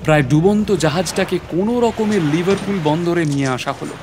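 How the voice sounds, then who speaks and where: একজন পুরুষ অভিনেতা প্রাকৃতিক ইতিহাসের অধ্যাপকের চরিত্রে নাটকীয়, রহস্যময় ভঙ্গিতে নিজের অভিযানের গল্প বলছেন।. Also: male voice, a little high, fairly quick, very noisy, close to an everyday voice. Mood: grave, suspenseful. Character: male natural history professor recounting his adventure. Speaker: narrator. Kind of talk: dramatic scripted story narration.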